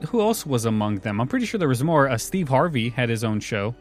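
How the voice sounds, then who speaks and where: A man's voice: medium pitch, very animated, quick pace. A man hosting a podcast in a casual, conversational style. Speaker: podcast host.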